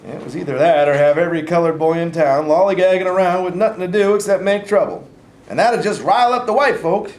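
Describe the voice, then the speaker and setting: A man's voice: high voice, fast, darkish tone, lightly acted. A man in a staged reading playing a stern, folksy, domineering former governor and father from the South. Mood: folksy, uneasy. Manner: folksy, scolding. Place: live staged reading in a room. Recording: echoey room with faint noise.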